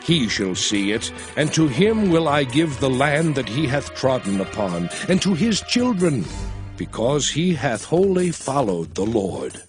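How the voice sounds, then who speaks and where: Moses, a solemn old prophet, recounts in a very slow, lively-pitched voice. Music plays clearly beneath his narration in this scripture drama. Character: Moses, aged solemn male prophet and leader. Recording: studio voice over music.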